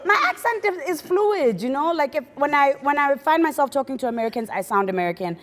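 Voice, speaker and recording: Animated high-voiced woman in her thirties. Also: quick pace, in a large hall. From born in the US, raised in South Africa. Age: 30s. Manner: animated, laughing, trailing restarts. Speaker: guest, poet. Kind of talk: casual chat.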